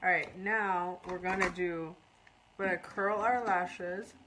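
A woman's voice: lowish voice, steady tone, takes it slowly, fairly bright tone, slight background noise, rough recording. A woman in her thirties from Iran, chatting casually on a live makeup tutorial and reading out viewer comments while she works. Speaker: makeup artist. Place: small indoor room before a curtain.

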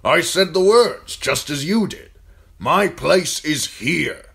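A man voicing a gruff older military commander in an audiobook, talking bluntly and firing off needling questions. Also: fairly high pitch, animated, slow pace, a bit bright, voice pushed up, full character voice. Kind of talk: audiobook character voice.

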